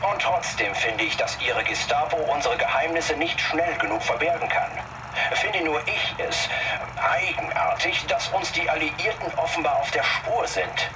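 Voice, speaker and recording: A needling German official in a war game. His fairly high voice is sardonic and pointed, coming distant through a noisy radio intercept. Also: lively intonation, moderate pace. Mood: sardonic, suspicious. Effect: radio intercept filter.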